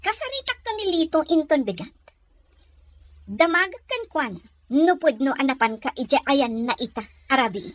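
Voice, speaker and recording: Woman doing a naggy suspicious filipina lady in a radio drama, high voice, very animated, pushy. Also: normal speed. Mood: jealous, scolding.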